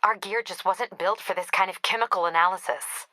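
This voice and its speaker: Woman acting a forceful, no-nonsense police captain. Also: medium pitch, a little fast, dry recording, close to an everyday voice. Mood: brisk, dry. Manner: clipped, businesslike, dryly wry. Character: no-nonsense female police captain, brisk, dry, weary ally. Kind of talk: video game voice acting, radio dispatch briefings.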